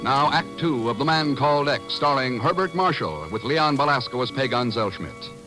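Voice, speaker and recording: A man announcing and narrating in a scripted 1940s radio style, with a measured, formal, polished delivery. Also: medium pitch, animated, over loud music.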